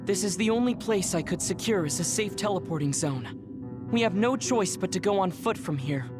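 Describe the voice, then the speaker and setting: Rational young squad mate in a game, polite n measured, music behind. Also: male voice, high-pitched. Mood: calm, firm. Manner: measured, formal, calm. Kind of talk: English game voice acting. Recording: music under the voice.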